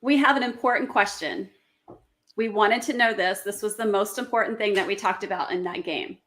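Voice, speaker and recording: A woman's voice: mid-range voice, moderate pace, fairly bright tone. A woman hosting a casual podcast, upbeat and steering the conversation with questions.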